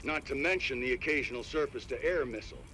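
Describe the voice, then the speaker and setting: Man playing a fast-talking, hard-edged colonel. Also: mid-range voice, lightly acted. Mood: displeased, accusing. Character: suspicious, demanding military colonel, man, hard-edged faction leader. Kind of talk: dramatic video game cutscene acting. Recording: noisy radio link.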